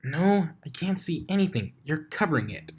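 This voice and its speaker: A man voicing a brash, tomboyish young mare with a raspy, argumentative voice. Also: fairly high pitch, big pitch swings, moderate pace, pitched above the performer's own voice. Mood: bickering.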